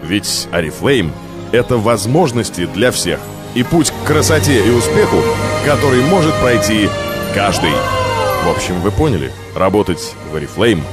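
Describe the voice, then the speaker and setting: A man reading a scripted corporate promotional narration in measured, deliberate phrases. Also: on the low side, animated. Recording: music under the voice. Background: music.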